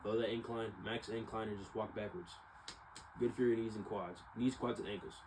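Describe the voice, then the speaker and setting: A young American man with a slightly low voice rambles reflectively through a casual personal monologue. He circles back and repeats himself as he goes. There is clear background noise in the recording. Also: steady tone, a little fast. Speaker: vlogger.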